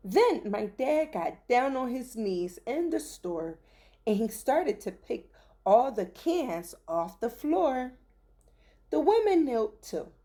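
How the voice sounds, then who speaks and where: A woman reading a rhyming children's story aloud with theatrical, deliberate phrasing. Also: a little high, very animated, dark tone.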